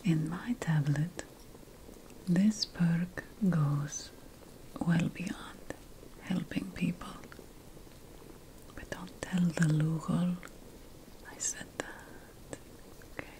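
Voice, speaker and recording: A woman voicing a sly, conspiratorial potion-making witch in an ASMR fantasy roleplay, whispering slowly and deliberately with dramatic pauses. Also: lowish voice, fairly even intonation, dark tone, low-quality recording. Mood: sly, secretive.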